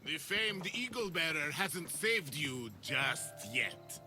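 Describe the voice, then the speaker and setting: Game villain: menacing crime lord, mocking and theatrical, slightly raised voice with wide inflection, scored. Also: male voice, fairly high pitch, sing-song, slow, pushed and bright, faint music behind, full character voice. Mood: smug taunting. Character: smug, menacing male smuggling crime boss. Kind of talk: dramatic video game villain acting.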